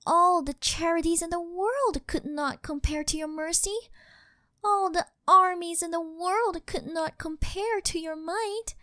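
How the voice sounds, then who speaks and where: A woman's voice: very high voice, unhurried, pushed and bright, no echo, studio quality, exaggerated voice. A woman voicing a possessive, worshipful living doll maid in an intimate ASMR roleplay, speaking in a formal, fawning, melodramatic way. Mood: adoring. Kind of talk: scripted ASMR roleplay, intimate monologue to the listener.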